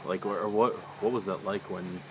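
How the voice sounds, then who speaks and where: A man asking casual interview questions from off-mic, quick and probing. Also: low voice, fairly even intonation, a crowd in the background.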